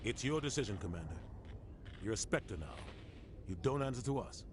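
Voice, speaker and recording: Grave military captain over noisy hum. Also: male voice, lowish voice, big pitch swings, brisk. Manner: serious, direct. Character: grave, steady human male military captain. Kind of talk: scripted sci-fi game dialogue.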